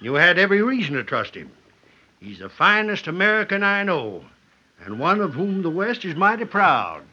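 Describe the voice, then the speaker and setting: An actor playing an earnest, forthright army major in an old radio western, with emphatic delivery. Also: male voice, high-pitched, sing-song, moderate pace, pushed and bright, slightly rough, full character voice. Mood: earnest, proud. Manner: emphatic, declamatory. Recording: dull vintage radio recording.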